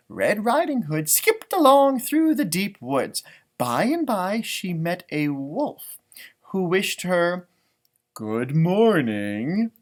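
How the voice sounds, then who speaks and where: Male children's read-aloud host: expressive, unhurried, playful, chatty asides. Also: high-pitched. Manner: expressive, playful, wondering aloud to young listeners. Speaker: storytime read-aloud host, music teacher.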